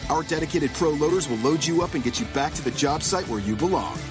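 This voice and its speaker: A man reading a scripted radio commercial in an upbeat, steady announcer delivery. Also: a little high, fast, over music.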